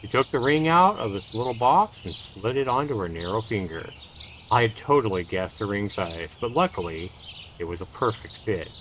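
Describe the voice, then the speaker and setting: A man hosting a livestream, reading a visual novel aloud in a measured storytelling way. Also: on the low side, lively intonation, slight background noise. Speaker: livestream host. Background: loud music and birdsong.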